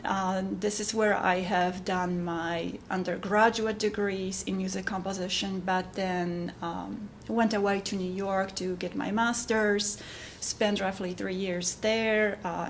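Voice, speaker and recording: A middle-aged woman with a Polish accent, talking informally and haltingly about her own work as a composer. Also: mid-range voice, flat delivery, slight background noise. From Poland. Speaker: composer giving updates. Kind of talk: informal monologue to camera.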